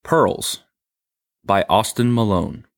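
A man reading a short story aloud in plain, even narration. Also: lowish voice, takes it slowly, no echo, clean studio sound. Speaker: story reader.

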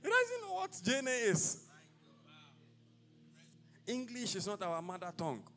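A man's voice: very high-pitched, wildly swooping pitch, a little slow, a bit bright. A man with a Ghanaian accent, an apostle preaching a sermon in a church service, declaiming in rising bursts and dropping into casual asides.

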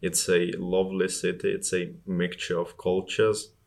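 A man's voice: sits low, level tone, a little slow, gravelly. A man from Estonia answering questions casually as an interview guest about his own travels.

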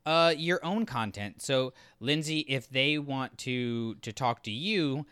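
A man's voice: mid-range voice, takes it slowly, fairly bright tone, clear, resonant tone, no echo. A man co-hosting a podcast, giving enthusiastic, conversational opinions in a casual review discussion. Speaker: podcast co-host.

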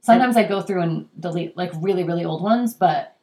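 A young adult woman, a daughter, co-hosting a podcast in relaxed casual chat while a little congested. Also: lowish voice, a little fast. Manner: relaxed, easygoing, fillers like "like" and "yeah". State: congested, under the weather. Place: same room as co-host, home recording.

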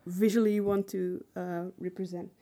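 A woman's voice: lowish voice, little pitch movement, normal speed, dark tone. A woman co-hosting a podcast in casual discussion. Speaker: podcast co-host.

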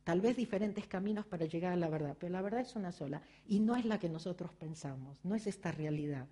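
Argentine woman, mellow, reflective talk. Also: lowish voice, fast talker. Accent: Argentine Spanish. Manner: reflective, personal, addressing the audience with frequent rhetorical questions. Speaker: spiritual speaker. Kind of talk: motivational talk.

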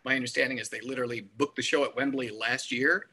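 A man with a very bright, forceful voice at a medium pitch. He chats as a music podcast co-host, emphatic and repetitive, often slipping into imagined quotes. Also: fairly even intonation, fast talker, husky. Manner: emphatic, repeats words, acts out imagined quotes. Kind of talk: casual album discussion.

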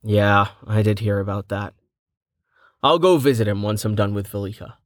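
A narrator voicing Rain, a polite and concerned young adventurer, close to the narrator's own voice. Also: male voice, lowish voice, normal speed, dry recording, close to an everyday voice.

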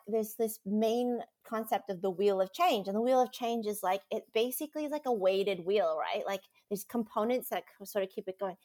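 A woman's voice: medium pitch, dark tone. A woman chatting casually as a podcast guest, rambling enthusiastically and laughing often.

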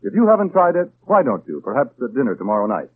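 A male radio announcer delivers a sponsor read in 1930s style. He is folksy and persuasive, with very lively intonation, heard through a muffled, narrow old broadcast. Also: mid-range voice, fast, husky, dry recording. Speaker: commercial announcer.

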